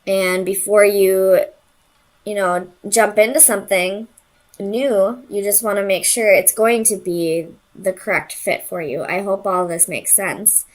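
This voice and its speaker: A woman's voice: mid-range voice, fairly even intonation, measured pace. A woman doing an informal tarot reading, speaking straight to the listener and thinking aloud in loose, tentative phrases. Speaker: tarot reader and host.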